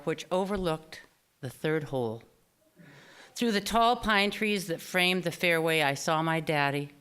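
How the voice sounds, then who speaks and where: A woman reads a personal memoir aloud on stage. Her voice sits a little low and is very smooth and clear. She tells it expressively, shaping and stretching phrases with deliberate care. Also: a bit bright. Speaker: author reading own personal essay. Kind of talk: scripted memoir reading at a live storytelling event. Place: small theater stage, live reading before an audience.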